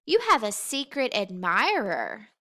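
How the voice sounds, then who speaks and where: A woman's voice: medium pitch, wildly swooping pitch, a little slow, a bit bright, full character voice. A woman voicing a caring, playful mother bear in a storybook, with a fond, coaxing manner.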